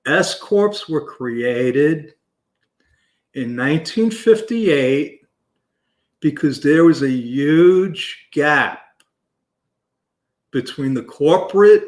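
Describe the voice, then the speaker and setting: A man hosting a business show, talking loudly and passionately with emphatic restarts. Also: on the high side, expressive, very slow, pauses often, echoey room. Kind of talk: unscripted talk to camera.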